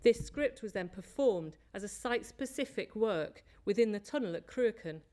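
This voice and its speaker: A woman presenting at an academic conference, reading from prepared notes in a measured way with small slips. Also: medium pitch, lively intonation, dark tone. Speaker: conference speaker, lecturer. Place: lecture hall.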